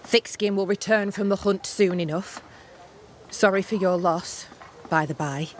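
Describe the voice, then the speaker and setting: An actress playing a tough, blunt frontier camp leader in a video game, speaking curtly. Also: female voice, medium pitch, unhurried, slight background noise, lightly acted. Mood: stern, matter-of-fact. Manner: curt, commanding. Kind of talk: video game cutscene acting.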